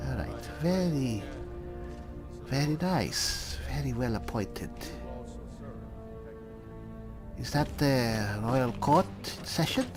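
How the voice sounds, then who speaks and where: A man playing a chatty, pompous adventuring cleric in an improvised fantasy game roleplay, halting and musing theatrically with dry humour. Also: sits high, lively intonation, over music. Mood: quiet, musing. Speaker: gamer playing a character.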